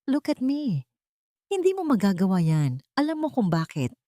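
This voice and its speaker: A woman voicing a calm, wronged wife and mother in an audio drama, speaking in a hushed, controlled, cutting way. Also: medium pitch, moderate pace, no room sound, clean studio sound. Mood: cold, scornful.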